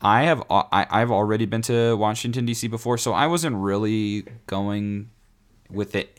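A man in his twenties hosting his own podcast, rambling casually with lots of fillers and restarts, his voice raspy from singing. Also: fairly low pitch, normal speed. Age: 20s. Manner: rambling, self-correcting, frequent fillers. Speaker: podcast host. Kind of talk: rambling solo podcast chat.

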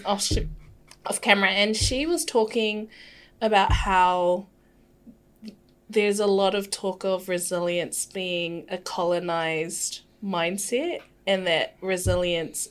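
A young woman with a mid-range voice hosts a relaxed chat. She is friendly and chimes in often with soft agreeing murmurs. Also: medium pitch. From New Zealand. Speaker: host. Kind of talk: casual conversation.

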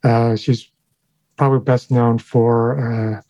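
A man, a sound designer whose first language is Chinese, answering interview questions in a thoughtful, halting way with many fillers and restarts. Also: mid-range voice, little pitch movement, mellow, no room sound. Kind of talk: interview conversation.

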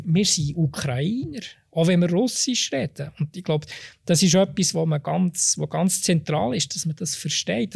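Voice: medium pitch, vocal fry, a little mellow, slightly rough, no echo, studio quality. An expert guest with a Swiss accent answering interview questions, hesitant, with many fillers and restarts.

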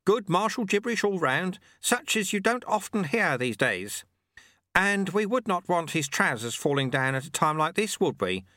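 Brief: man playing a wily, genial old sweeper, high, bright and teasing. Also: big pitch swings, normal speed, dry recording, studio quality, full character voice. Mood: amused kindly. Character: little bald wrinkly old man, sly and kindly.

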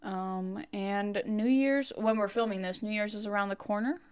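A woman's voice: medium pitch, fairly even intonation, normal speed, clean tone. A woman in her twenties co-hosting a podcast, chatting casually and rambling with self-mocking asides that trail off. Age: 20s. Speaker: podcast co-host. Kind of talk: casual chat.

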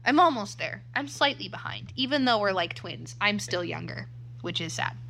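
Bright-voiced young woman, close-miked. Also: mid-range voice, expressive, normal speed, gravelly. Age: 20s. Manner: rambling, self-correcting, laughs often. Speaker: podcast co-host. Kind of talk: casual chat. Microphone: too close to the mic.

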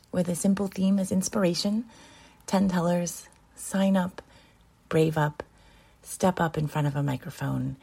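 A woman giving a scripted introduction to a live storytelling show, measured and unhurried, lingering on listed words with soft, breathy stresses. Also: lowish voice. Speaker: show host.

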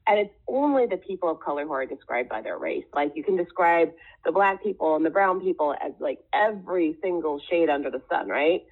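A woman's voice: low-pitched, expressive, brisk, breathy edge. A woman from the Caribbean, a guest in an interview, speaking earnestly and emphatically, stacking up point after point. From Caribbean, Jamaica and Trinidad. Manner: emphatic, building long lists. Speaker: guest, diversity consultant. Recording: tinny phone-like line.

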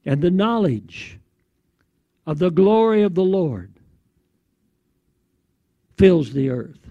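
A man preaching in a declamatory way, recounting a prophetic vision in short phrases with deliberate pauses. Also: sits high, very animated, dry recording, highs cut off. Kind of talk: prophetic sermon narration.

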